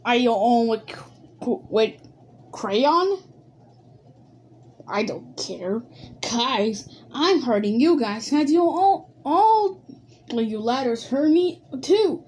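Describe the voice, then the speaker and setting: A high-voiced woman playing squabbling cartoon alphabet letters in a homemade animated skit. The delivery is slow and halting, with repeated phrases and a very lively melody. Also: slight background noise. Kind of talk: improvised amateur animated skit dialogue.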